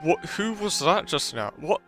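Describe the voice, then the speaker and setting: A woman's voice: on the low side, lively intonation, normal speed. A woman streaming a game, giving casual, slangy, incredulous reactions as she plays. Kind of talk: casual gameplay reaction commentary. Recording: game music behind the voice.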